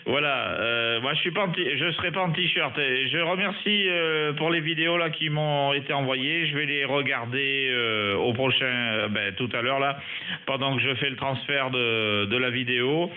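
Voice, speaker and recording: A man's voice: medium pitch, normal speed. A man chatting casually as an amateur radio operator, hesitant and repeating short phrases, on a narrow radio sound. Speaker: amateur radio operator, recording for his online channel. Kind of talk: casual ham radio chat.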